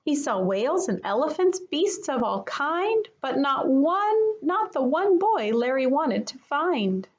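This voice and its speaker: A woman, a kindergarten teacher, reading a children's picture book aloud in an expressive manner for young listeners. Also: high-pitched, slow pace, hardly any pauses, lo-fi sound.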